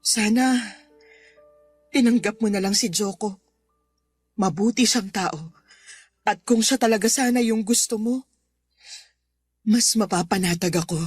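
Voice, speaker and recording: A woman's voice: medium pitch, a little slow, long pauses, a little mellow, husky, no echo, faint music behind. A woman playing a worried middle-aged Filipino mother, earnest and pleading, in a radio drama. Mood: sad, resigned.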